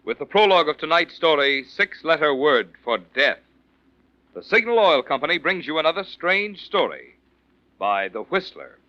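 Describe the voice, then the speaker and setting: Male vintage radio pitchman, polished, animated, highish voice, dull dry recording. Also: moderate pace, no echo, soft background music. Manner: polished, emphatic sales delivery. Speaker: radio announcer and sponsor pitchman. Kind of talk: 1940s radio commercial announcing.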